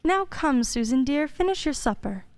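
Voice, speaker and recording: A woman playing a gentle, caring mother in an amateur radio play. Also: sits high, animated, moderate pace, no room sound.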